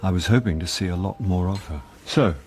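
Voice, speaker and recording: An actor playing a rueful, theatrical middle-aged British actor turned amateur detective in a radio comedy-drama, wry and self-deprecating. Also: male voice, sits low, a little fast, a little mellow, natural voice. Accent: British English. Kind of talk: radio comedy-drama acting and first-person narration.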